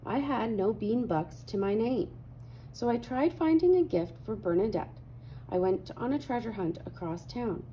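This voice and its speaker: A woman reading a children's picture book aloud with animated, dramatic emphasis. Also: a little high, moderate pace, slight background noise, poor audio. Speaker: read-aloud host.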